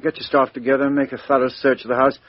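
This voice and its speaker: An actor playing a brisk, commanding police inspector in a 1950s radio drama. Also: male voice, medium pitch, level tone, no echo, lightly acted. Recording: dry, dull lo-fi old radio recording.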